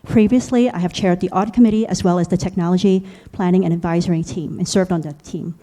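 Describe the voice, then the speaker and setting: A woman's voice: lowish voice, steady tone, fast talker, mellow. A woman, a school board member seeking re-election, reading a formal prepared statement at a public forum. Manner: formal, reads a prepared speech.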